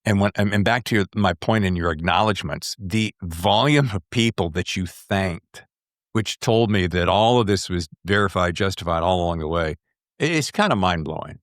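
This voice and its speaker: A man's voice: deep voice, normal speed, no room sound, studio quality. An American man hosting a conversational book interview, effusive and rambling as he thinks aloud. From United States. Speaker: podcast host interviewing an author.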